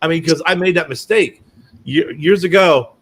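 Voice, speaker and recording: A man hosting a casual chat and telling lively anecdotes with many restarts. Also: a little high, normal speed, slightly rough.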